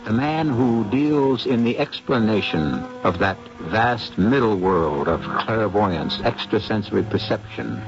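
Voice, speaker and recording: A male radio mystery host narrates with deliberate, portentous drama. His gravelly voice swings widely in pitch. The recording sounds dull and old.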